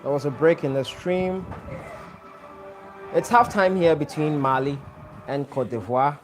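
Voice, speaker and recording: A man's voice: on the high side, a little slow, faint music behind. A man from Ghana hosting a live football watch-along, chatting and greeting viewers by name as he reads their comments. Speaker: watch-along stream host. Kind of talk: live stream chat reading viewer comments.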